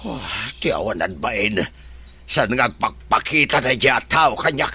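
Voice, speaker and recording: A man acting a protective, reasonable older father in an Ilocano radio drama, with a husky voice and an Ilocano accent. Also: mid-range voice, expressive, unhurried, sharp tone, heavily acted. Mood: indignant. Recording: faint noise behind the voice.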